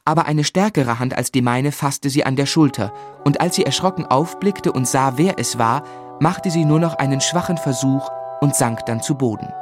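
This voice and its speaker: An actor playing an earnest, compassionate young gentleman writer, narrating his life in measured, articulate for a radio drama. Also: mid-range voice, natural voice. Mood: reflective, grave. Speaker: narrator and lead character. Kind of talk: literary first-person narration in German radio drama. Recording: music bed under the voice. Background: music.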